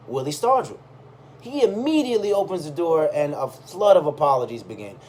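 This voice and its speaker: A man with a slightly high voice reads a personal story aloud as if telling it to a friend. His delivery is expressive and conversational. There is clear noise behind him. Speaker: reader of a personal chronicle. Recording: clearly audible background noise.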